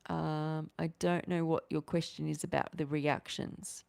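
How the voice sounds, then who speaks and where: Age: 40s. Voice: low-pitched woman, rambling livestream chat. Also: measured pace, vocal fry, clean tone. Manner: rambling, animated, restarts often, gestures with hands. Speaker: livestream host. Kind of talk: casual livestream chat answering viewers.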